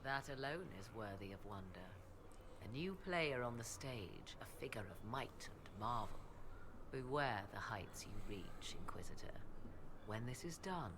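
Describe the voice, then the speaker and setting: An actress playing a proud, aloof apostate mage in a fantasy game, speaking in formal, archaic, haughty phrases. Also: female voice, a bass voice, lively intonation, unhurried, noisy background. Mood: cool, knowing.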